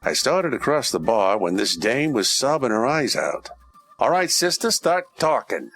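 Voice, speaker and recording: A man playing a hardboiled, wisecracking detective of ancient Rome in an old-time radio parody, with deadpan tough-guy delivery. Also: medium pitch, a little slow, soft background music.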